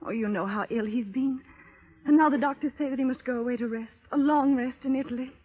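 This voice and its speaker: An actress playing an eager, coaxing, childlike young wife in vintage radio drama style, with breathless, gushing delivery. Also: female voice, high-pitched, fast, breathy edge. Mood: anxious pleading. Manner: breathless pleading, exclaiming and gushing. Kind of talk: 1930s-40s radio drama acting. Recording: muffled, band-limited vintage radio recording.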